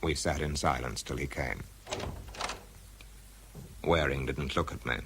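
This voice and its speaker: An actor playing a blunt, stubborn, dryly sardonic British scientist in a radio drama, who also narrates it. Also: male voice, extremely low pitch, a little slow, noisy background. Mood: dry narration. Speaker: lead actor and first-person narrator.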